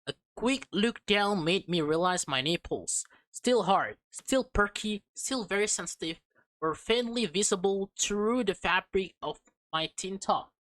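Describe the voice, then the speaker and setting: A woman doing a let's play, reading a visual novel aloud with expressive delivery, casual reacting asides and the occasional stumble over words. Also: mid-range voice, a little slow, no room sound.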